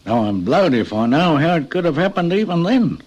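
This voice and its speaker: An actor playing a plain-spoken railway guard in a radio drama. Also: male voice, on the high side, sing-song, a little fast, a little mellow. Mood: puzzled.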